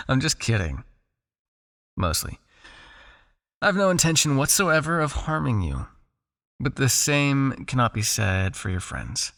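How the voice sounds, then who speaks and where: A man playing a possessive, teasing vampire captor in an intimate ASMR roleplay, coaxing the listener with a creaky voice. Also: medium pitch, fairly bright tone, exaggerated voice. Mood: coolly menacing, coaxing. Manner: coaxing, teasing, with pauses, sighs and murmured asides.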